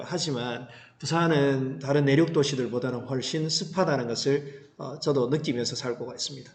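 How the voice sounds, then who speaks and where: A man teaching an English reading lesson, explaining line by line and putting questions to students that he answers himself. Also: medium pitch. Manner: didactic, asks rhetorical questions and answers them. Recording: roomy, echoing sound.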